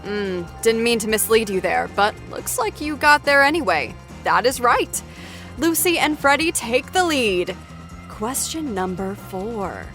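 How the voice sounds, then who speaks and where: A woman's voice: on the high side, lively intonation, measured pace, a bit bright, faint music behind. A woman hosting a playful trivia game show in a bubbly, upbeat manner. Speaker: show host.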